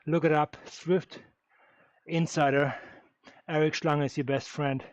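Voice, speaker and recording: A man's voice: fairly high pitch, little pitch movement, unhurried, no echo. A man chatting while riding. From Germany. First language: German. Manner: easygoing, thinking aloud with many fillers. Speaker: streamer riding an indoor bike session. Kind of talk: casual livestream chat, answering viewers while riding. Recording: dull, dry lo-fi sound.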